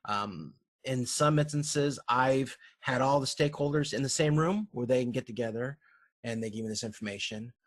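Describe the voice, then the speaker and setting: Male lecturer, mid-pitched and somewhat husky, informal explanatory slide talk with halting, self-correcting phrasing. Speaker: university lecturer.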